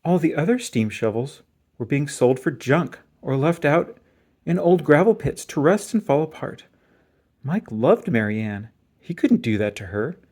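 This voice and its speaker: A man reading a children's picture book aloud in an expressive storytelling style. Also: on the high side, measured pace.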